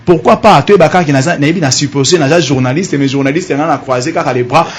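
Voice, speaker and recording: Halting radio co-host. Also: male voice, fairly high pitch, slight background noise. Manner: halting, full of fillers, mixes French and Lingala.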